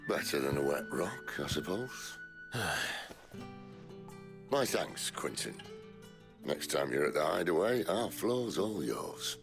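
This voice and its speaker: A man playing a wry, roguish middle-aged rebel leader in a video game, dry, sardonic and world-weary, with a gravelly voice. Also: very deep voice, big pitch swings, takes it slowly, long pauses, over music, full character voice. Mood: wry, easygoing.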